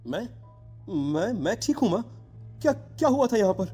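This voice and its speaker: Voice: mid-range voice, very animated, moderate pace, mellow, soft background music, natural voice. A performer voicing a scared teenage son in a cartoon horror story, nervous and repeating words. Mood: shaken. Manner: stammering, breathlessly fearful, repeating words. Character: frightened teenage schoolboy, anxious son haunted by nightmares.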